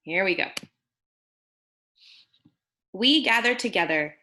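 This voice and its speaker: A woman reading a picture book aloud as a teacher on a video call, pausing to explain words simply for children. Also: mid-range voice, animated, bright, forceful tone. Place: online video meeting with screen sharing.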